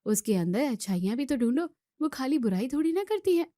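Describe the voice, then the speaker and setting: A woman's voice: high voice, sing-song, brisk, dark tone, no echo, studio quality. A woman playing an outspoken young student in a family drama, scolding and arguing with emphatic questions. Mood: firm, reproachful. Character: outspoken young student daughter defending her sister-in-law. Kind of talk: Hindi family drama voice acting.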